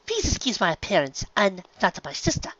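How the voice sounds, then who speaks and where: A woman's voice: medium pitch, huge pitch swings, a little fast, fairly bright tone, dry recording, voice pushed up. A woman voicing a refined young mare, courteous and dramatic, with genteel, formal phrasing in a fan story reading. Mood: apologetic, polite. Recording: slightly dull, lo-fi recording.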